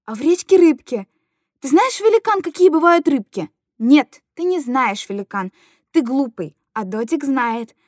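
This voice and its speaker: A woman's voice: high voice, very animated, unhurried, no echo, clean studio sound. A woman playing an anguished, tender mother who coos simple, repetitive stories to her little boy in a dramatic monologue. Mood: playful scolding. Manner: cooing, repetitive, childlike storytelling to a small child. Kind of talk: dramatic literary monologue reading.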